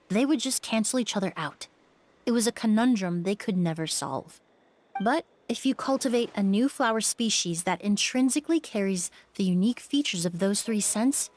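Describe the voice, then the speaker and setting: A composed young woman perfumer from a video game, played by an actress, explains things calmly and precisely. Her voice sits at an average pitch, polite and analytical, over faint background music. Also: close to an everyday voice. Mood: composed, explanatory.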